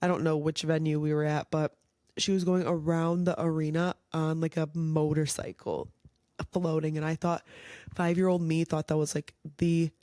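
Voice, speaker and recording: A young woman hosting a casual solo podcast, chatty and rambling, her voice a little nasal and raspy from a cold. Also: low-pitched, flat delivery, normal speed, warm tone, dry recording, clean studio sound. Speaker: podcast host.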